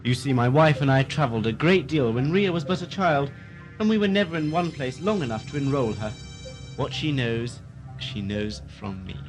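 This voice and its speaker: A man playing a suave, scheming asylum keeper in an audio drama, florid and smoothly condescending. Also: mid-range voice, moderate pace, soft background music, close to an everyday voice, poor audio. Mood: suave, indulgent. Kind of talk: amateur audio drama acting.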